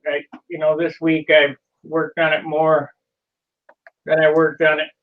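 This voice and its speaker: A man with a somewhat high voice chats casually on a video call. He sits away from the microphone, so his voice comes through distant and dull, lo-fi. He talks as he handles his gear. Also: completely flat delivery, measured pace. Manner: chatty, fumbles with a can while talking. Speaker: hobbyist showing his paint.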